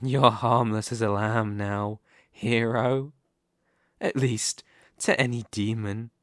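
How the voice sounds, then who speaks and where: A man playing a smug, possessive demon king in an ASMR roleplay, speaking slowly and deliberately with a teasing, condescending manner. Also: fairly low pitch, fairly even intonation, no room sound, studio quality. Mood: calm, possessive. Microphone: close studio microphone, dry.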